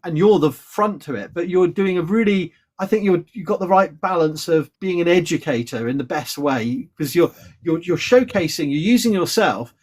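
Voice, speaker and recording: A middle-aged British man hosts a relaxed live chat, his voice sitting a little high for a man. He talks in a chatty, hedging way, piling up fillers and restarts as he works toward a point. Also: moderate pace. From Britain. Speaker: host. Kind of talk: casual live-stream conversation.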